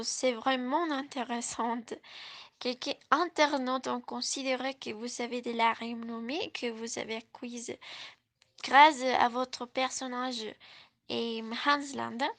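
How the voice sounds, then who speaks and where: Slow, gravelly, high-voiced woman host. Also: steady tone, fairly bright tone. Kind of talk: scripted interview hosting.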